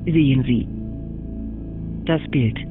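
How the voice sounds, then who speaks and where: An actor playing a calm, detached helpline voice in a radio drama, measured and formulaic. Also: male voice, medium pitch, lightly acted. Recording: narrow muffled line, music behind.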